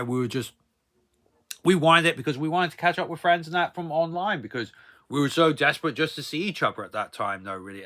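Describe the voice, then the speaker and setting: A man's voice: mid-range voice, sharp tone. A man in his thirties telling a casual, rambling personal story as a vlogger, hesitant and repetitive, often tailing off into tag phrases.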